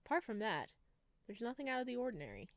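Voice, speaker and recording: A woman's voice: a little high, very animated, fast talker, dry recording. A woman doing a let's play, reading visual novel narration aloud and dropping in chatty, casual asides. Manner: chatty asides, reacts to the story. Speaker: video game streamer.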